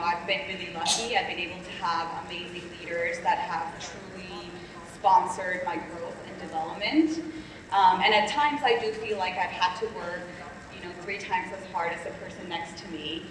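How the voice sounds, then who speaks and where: A woman's voice: fairly low pitch, steady tone, moderate pace, a crowd in the background. A woman from Colombia, a panelist telling her story in a candid, reflective way with frequent ums. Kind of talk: panel discussion, personal story. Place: conference stage. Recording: echoey hall, distant mic.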